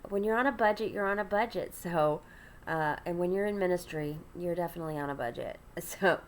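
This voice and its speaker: A woman's voice: fairly low pitch, fairly quick, slight background noise. Stuffy, congested woman chatting. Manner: chatty, rambling, many ums and restarts; talks while doing her makeup. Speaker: ministry host. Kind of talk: casual get-ready-with-me chat.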